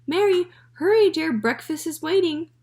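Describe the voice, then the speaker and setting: A woman's voice: on the high side, normal speed, natural voice. A woman voicing a worried, polite mother in a children's story. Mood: coaxing. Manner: anxious and proper.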